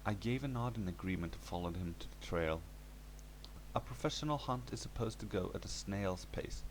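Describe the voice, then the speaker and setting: Deep voiced guy reading a zombie-type horror story, bit of hum on the recording. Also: expressive, moderate pace, low-quality recording. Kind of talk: scripted first-person story narration.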